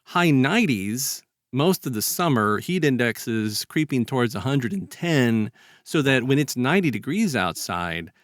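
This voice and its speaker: A man's voice: medium pitch, big pitch swings, unhurried, no echo, studio quality. An American man co-hosting a podcast, talking casually in a reflective, hedging way with wry humor. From South Texas, United States (lives there). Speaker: podcast co-host. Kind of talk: casual discussion.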